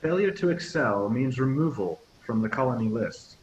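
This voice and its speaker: A man voicing a warm, commanding captain in his fifties, giving a measured speech in a table read. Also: mid-range voice, expressive, close to an everyday voice. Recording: echoey, muffled, hissy audio.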